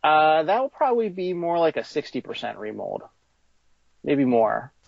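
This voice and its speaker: A man's voice: on the high side, big pitch swings, normal speed, creaky, no echo. Man in a slightly muffled interview recording. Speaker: toy designer being interviewed. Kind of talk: informal interview answers.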